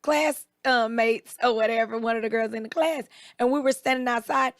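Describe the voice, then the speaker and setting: A woman with a raspy, slightly hoarse voice, chatting casually as co-host and acting out the people in her stories. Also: on the high side, normal speed, dry recording. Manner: animated storyteller, quotes people in raised voices. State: recovering voice, a bit hoarse. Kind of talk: casual chat.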